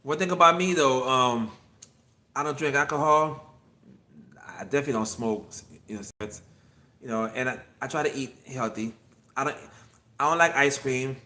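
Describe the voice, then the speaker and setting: A man's voice: mid-range voice, moderate pace, long pauses, pushed and bright. Rambling fifty-something man on a livestream. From Miami. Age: 50s. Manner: rambling, repeats himself, answers chat viewers. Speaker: acupuncture physician and livestream host. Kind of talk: casual solo livestream. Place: his clinic.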